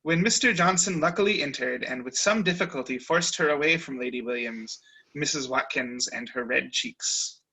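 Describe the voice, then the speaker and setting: A man narrating a comic period story in a wry, deliberate way over a video call. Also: mid-range voice, creaky, pushed and bright, slightly rough, low-quality recording.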